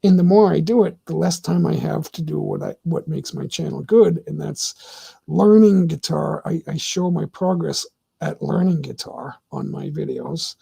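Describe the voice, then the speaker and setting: A middle-aged man hosts his own livestream and talks straight to his chat. His voice sits fairly high and swings widely in pitch, with a warm, mellow tone. He rambles through his opinions and keeps stopping to restart his sentences. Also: sing-song, normal speed, gravelly, slightly rough. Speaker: livestream host. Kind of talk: casual livestream monologue answering chat.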